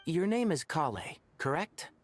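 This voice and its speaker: A woman voicing a composed, authoritative young official in a video game, measured, stern yet patient. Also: low-pitched, big pitch swings. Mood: calm, puzzled. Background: background music.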